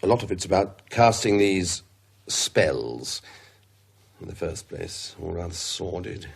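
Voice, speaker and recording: British academic, low husky voice, hesitant, pedantic, donnish, radio play. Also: male voice, animated, slow pace, exaggerated voice. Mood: pedantic, measured. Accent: British English. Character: scholarly man, pedantic, hesitant, unhurried academic. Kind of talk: British radio horror drama acting.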